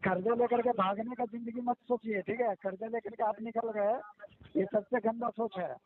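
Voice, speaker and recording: A man's voice: very high voice, fairly even intonation, moderate pace, a little hiss or hum. A man, a loan recovery official on a recorded phone call, scolding and pushing repetitively for payment. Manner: pushy, scolding, repetitive, interrupting. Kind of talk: phone argument demanding repayment. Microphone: telephone call recording.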